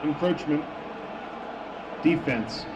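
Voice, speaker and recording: A man's voice: on the high side, takes it slowly, highs cut off, slight background noise, voice pushed down. A man voicing a terse football referee, giving short, clipped penalty announcements. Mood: official, terse.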